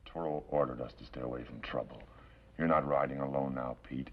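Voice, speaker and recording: Very deep, gravelly outlaw henchman. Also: steady tone, full character voice. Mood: commanding. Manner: firm, commanding, measured tough talk. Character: cautious, tough senior outlaw henchman, level-headed. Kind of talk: 1950s television western acting. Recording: dull, hissy old television soundtrack.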